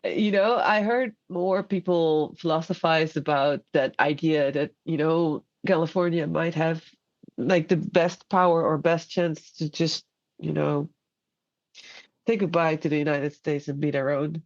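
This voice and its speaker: A woman's voice: sits low, fairly even intonation, unhurried, darkish tone, highs cut off. A woman from the Netherlands, a podcast guest who chats playfully and laughs easily. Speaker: guest, former student. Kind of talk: casual conversation.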